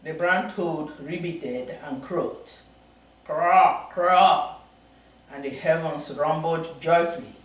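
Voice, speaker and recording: A man reading a folktale aloud as storybook narration, slow and deliberate. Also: on the high side. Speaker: storybook reader. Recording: very echoey room, from far off.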